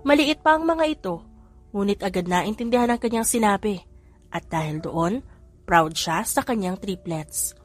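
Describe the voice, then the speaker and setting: A woman narrating a scripted romance audio drama in a steady, measured reading. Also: medium pitch, faint music behind. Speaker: storyteller.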